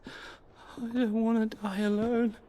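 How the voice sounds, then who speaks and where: A woman playing a frightened, frail dying outcast in a video game cutscene, faint and halting. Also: on the high side, flat delivery, mellow.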